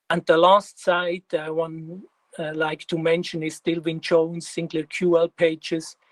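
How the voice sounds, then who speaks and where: A man in his fifties from Switzerland with a Swiss German accent, giving a technical presentation in an unhurried way, with many pauses and fillers. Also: fairly high pitch, a little mellow, no echo, poor audio. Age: 50s.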